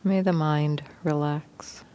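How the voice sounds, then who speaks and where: Slow, low-voiced woman guiding meditation. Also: fairly even intonation. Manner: gentle, unhurried, with long pauses. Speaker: meditation teacher. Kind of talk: guided meditation instruction.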